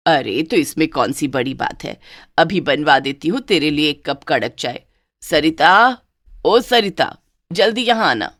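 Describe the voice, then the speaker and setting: A woman voicing a doting but bossy older mother-in-law in a cartoon story, speaking lower and slower. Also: lively intonation, fairly bright tone, exaggerated voice. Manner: lower, slower, scolding toward one and sweet toward the other.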